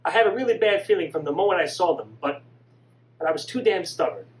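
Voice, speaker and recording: A man voicing a remorseful young son who pleads softly in a lowered, quiet voice. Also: sing-song, fast. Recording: distant mic with faint hum.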